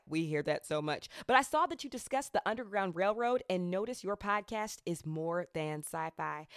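A woman's voice: mid-range voice, fairly bright tone, no echo, studio quality. A woman hosting a podcast, chatting and reading aloud in an effusive, emphatic manner. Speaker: podcast host. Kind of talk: podcast chat, reading out listener reviews and interviewing.

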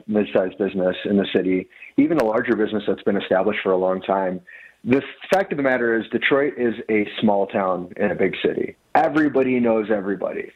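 A man raised near Detroit, a food business owner, talking earnestly as a radio interview guest with many restarts. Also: mid-range voice. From Mexico City, raised in Dearborn, Michigan. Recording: tinny phone line on radio.

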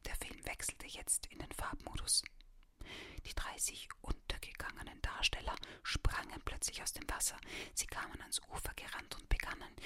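Whispered voice, bright and crisp-edged, breathy, audiobook narrator, scripted youth fiction reading.

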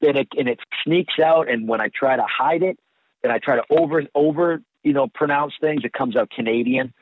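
A man with a Boston accent, a voice actor chatting casually in an interview, rambling with quick restarts. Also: mid-range voice. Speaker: voice actor guest. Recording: tinny phone line.

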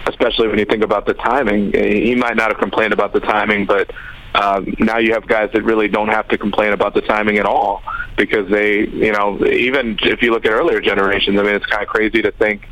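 A man in his thirties who grew up in the Chicago suburbs, speaking as an interview guest in a measured, hesitant way. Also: fairly low pitch, flat delivery, fairly bright tone, rough recording. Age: 30s. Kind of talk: conversational interview.

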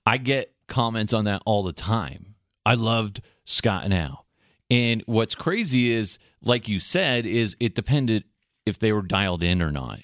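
An American man hosting a podcast, talking casually and telling anecdotes in an animated, mildly salty way. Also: on the low side, unhurried, dry recording, clean studio sound. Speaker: podcast host, former radio producer.

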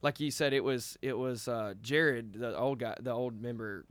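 A man's voice: mid-range voice, steady tone, normal speed, no room sound. A man in a band, telling a long story casually with lots of restarts and "like.". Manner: rambling, with many restarts and "like". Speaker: band member.

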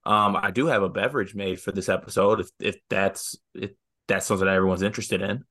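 A man from Michigan co-hosting a casual sports podcast, relaxed and easygoing in his banter. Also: sits low, quick pace. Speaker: podcast co-host.

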